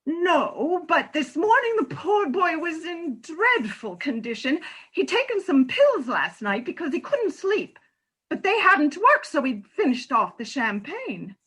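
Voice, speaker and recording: Woman doing earnest distressed mum in a stage farce, high bright voice, explaining everything dramatically. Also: very animated, unhurried, full character voice, rough recording. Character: distressed unmarried mother, a nurse, pleading earnestly. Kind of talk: comic farce readers theatre.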